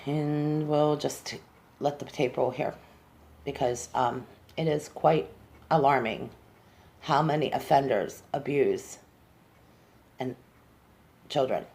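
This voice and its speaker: Low-pitched woman hosting a talk segment. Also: gravelly, darkish tone. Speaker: talk show host. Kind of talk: monologue on social issues, reading out statistics.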